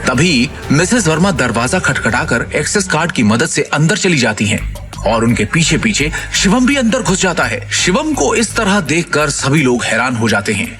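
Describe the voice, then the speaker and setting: A man's voice: on the high side, big pitch swings, moderate pace, over music. A man narrating a scripted audio drama as a dramatic storyteller.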